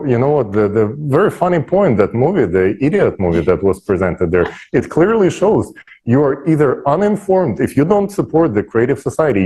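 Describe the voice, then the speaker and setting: A man's voice: medium pitch, dark tone, slightly rough, poor audio. A man speaking off the cuff as a panel guest, emphatic and opinionated in his delivery. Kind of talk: unscripted panel discussion.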